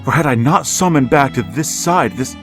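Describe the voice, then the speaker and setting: A man's voice: mid-range voice, lively intonation, moderate pace, a bit bright. An actor playing a bereaved father who narrates his horror and pleads in anguish in a radio drama. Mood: haunted. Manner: grave storytelling, breaking into anguish. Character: grieving older father narrating, haunted, tormented. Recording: radio play with faint violin music.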